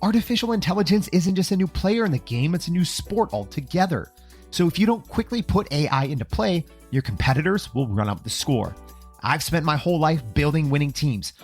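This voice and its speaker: Lively male promo voice over music. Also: a little high, slightly rough. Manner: punchy, motivational sales delivery. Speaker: podcast host and coach. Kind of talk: scripted promotional ad read.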